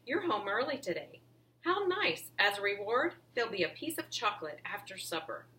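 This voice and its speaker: A woman voicing a kind, gentle mother in a children's storybook reading. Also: medium pitch, sing-song, moderate pace, slight background noise. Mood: cheerful, affectionate. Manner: gentle, affectionate. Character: kind, soothing mother of a young boy.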